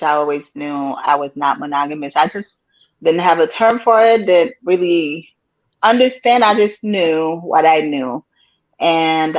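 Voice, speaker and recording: A woman from Haiti hosting a casual panel chat over a video call, speaking slowly with many fillers. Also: lowish voice. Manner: slow, hesitant, many fillers. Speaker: host. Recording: dull lo-fi call audio.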